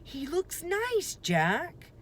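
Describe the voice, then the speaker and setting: A woman voicing a carefree, trusting and excitable little girl in a children's storybook, with short exclamations. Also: very high voice, extremely slow, slight background noise, pitched above the performer's own voice, heavily acted. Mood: carefree, insistent.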